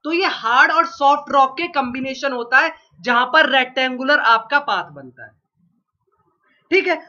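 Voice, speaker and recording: A man's voice: extremely high pitch, expressive, normal speed, sharp tone. A man giving an exam-prep geography lecture with a sore throat from a cold, coughing now and then and drilling each point by asking and repeating the answer. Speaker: geography teacher. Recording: lo-fi dull recording.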